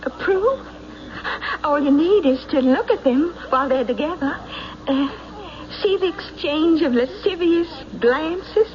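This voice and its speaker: A woman's voice: high-pitched, lively intonation, unhurried. A woman playing a haughty, spiteful wronged wife in an old radio drama, prim, melodramatic and accusatory, on a muffled recording. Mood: scornful, indignant. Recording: muffled old radio broadcast, faint noise.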